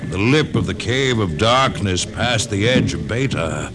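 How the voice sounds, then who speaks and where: An actor playing a fervent, grim cult priest who declaims prophecy in a solemn radio drama style. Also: male voice, on the low side, animated, crowd noise. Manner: slow, declamatory, prophetic chanting. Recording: loud music under the voice.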